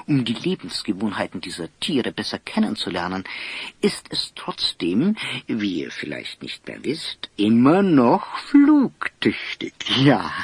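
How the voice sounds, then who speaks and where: An actor playing an eccentric, kindly old scholar and inventor in an audio drama, theatrical and pompous, with hems and chuckles. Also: male voice, medium pitch, husky, dry recording, heavily acted. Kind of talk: German audio drama acting.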